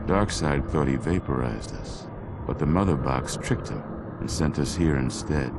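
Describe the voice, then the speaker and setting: A man's voice: very deep voice, normal speed, over loud music. A man playing a grim, terse superhero tactician in a video game, speaking in a clipped, stern way.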